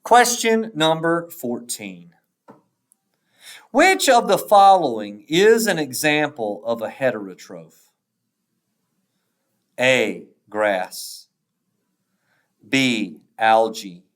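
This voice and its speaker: A man reading test questions and answer choices aloud like a teacher, in a measured, deliberate way with pauses after each option. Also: mid-range voice, big pitch swings, fairly bright tone.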